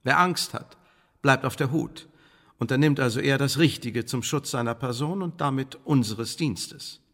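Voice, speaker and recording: An actor playing a suave, menacing Elizabethan secret service chief in a radio drama, speaking with measured, officious politeness and veiled threats. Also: male voice, medium pitch, fairly bright tone. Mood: officious, warning. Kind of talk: German radio drama acting. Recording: reverberant hall-like room.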